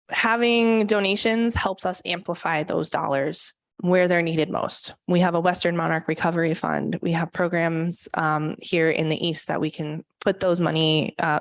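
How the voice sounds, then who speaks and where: Woman presenter, low-set voice, dry close sound, earnest talk with ums. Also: no echo. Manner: earnest, frequent um fillers. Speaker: conservation presenter. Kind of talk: public talk at a church forum. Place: church meeting room.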